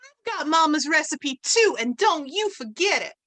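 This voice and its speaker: A woman's voice: sits high, animated, normal speed, sharp tone. A woman playing a competitive, defiant small-town housewife in an old-time radio western, emphatic and catty. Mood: accusing, defiant.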